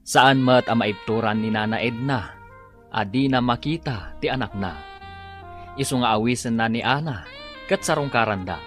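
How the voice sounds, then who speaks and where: A man's voice: medium pitch, normal speed. A man with an Ilocano accent narrating a radio drama as its announcer in a dramatic scripted style. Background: loud-ish theme music.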